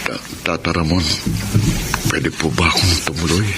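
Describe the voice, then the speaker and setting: A man's voice: sits low, fairly even intonation, moderate pace, noisy background. An actor playing a troubled young father in a Filipino radio drama, with a Filipino accent. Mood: subdued, grieving. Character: irritable, bitter young father, later guilt-ridden and humble. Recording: loud music bed under voice.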